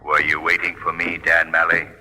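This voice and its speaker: The Shadow, deep, piercing phantom avenger. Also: male voice, completely flat delivery, bright, forceful tone, exaggerated voice. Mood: stern, urgent. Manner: ominous, deliberate, theatrical. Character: The Shadow, mysterious invisible male avenger, stern and commanding. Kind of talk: 1940s radio drama acting. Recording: muffled vintage radio recording, long reverb.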